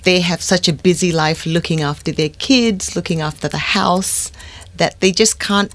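Australian woman, low and friendly. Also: moderate pace, fairly bright tone. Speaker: guest English teacher. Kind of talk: podcast interview.

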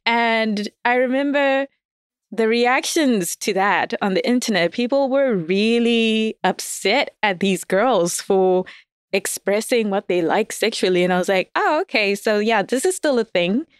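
A woman's voice: a little high, lively intonation, a little slow, clear, resonant tone, dry recording, studio quality. A woman as a podcast guest, chatting casually, laughing often and telling stories with animation. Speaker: guest, audio fiction writer. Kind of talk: casual interview chat.

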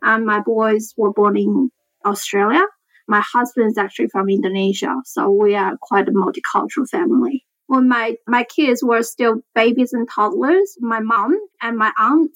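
A woman tells her story as a parent, speaking plainly and earnestly with a few small restarts. Her voice sits a little high and sounds close and dry. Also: normal speed, a bit bright, no echo, faint music behind, low-quality recording. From China. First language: Mandarin. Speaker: interviewed parent. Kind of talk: personal account.

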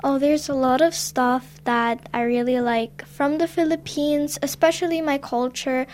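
High-voiced young woman, earnest. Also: fairly even intonation, normal speed, a little mellow. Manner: earnest, searches for words. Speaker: guest, young actress. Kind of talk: casual interview answers.

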